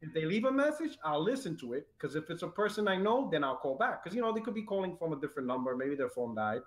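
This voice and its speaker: Animated man ranting on a podcast. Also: a little high, quick pace, roomy sound. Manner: animated storyteller, mimics callers, laughs often. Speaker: podcast co-host. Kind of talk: casual podcast chat.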